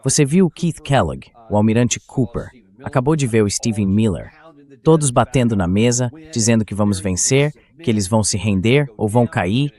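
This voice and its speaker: A man's voice: on the low side, animated, normal speed, darkish tone. A man with a Brazilian accent reading an interviewer's part as a translated voice-over, setting out questions for a guest. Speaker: interviewer and host.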